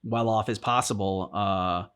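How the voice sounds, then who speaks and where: A man in a casual discussion, talking as a reaction show host in a halting, rambling way as he thinks aloud. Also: lowish voice, steady tone, a bit bright, smooth. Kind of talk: casual discussion of video game story.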